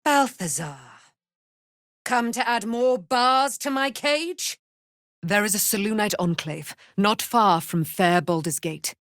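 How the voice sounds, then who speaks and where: A woman's voice: mid-range voice, animated, slow pace, fairly bright tone, dry recording, studio quality. A woman playing a proud, righteous immortal warrior in a video game, with grand, formal, declamatory delivery and archaic phrasing. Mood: defiant, menacing. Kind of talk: dramatic video game voice acting.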